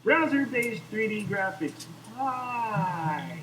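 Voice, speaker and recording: A man's voice: high-pitched, lively intonation, drawn-out words, fairly bright tone, recorded from a distance. Wry man bantering over noisy livestream audio. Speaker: co-host of a live game preview stream. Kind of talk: casual joking banter on a livestream.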